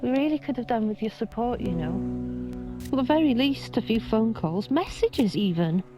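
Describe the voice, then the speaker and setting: A woman's voice: fairly high pitch, animated, moderate pace, natural voice. An actress playing a wounded middle-aged mother in naturalistic film dialogue, pointed and dryly sarcastic. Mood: hurt, reproachful. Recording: dull sound, loud music behind. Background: instrumental music.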